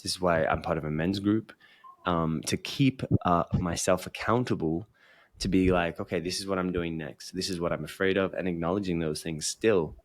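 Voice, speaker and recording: Guy with a really low voice, coach on a podcast, earnest and explaining stuff, dry close sound. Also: no echo. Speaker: coaching guest. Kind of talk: conversational explanation of personal growth.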